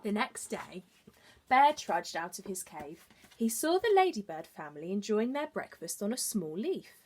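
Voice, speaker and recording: A woman's voice: medium pitch, very animated, moderate pace, a little mellow, breathy edge. A woman teacher reading a children's storybook expressively, adding explanatory asides for young listeners. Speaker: early years teacher reading aloud.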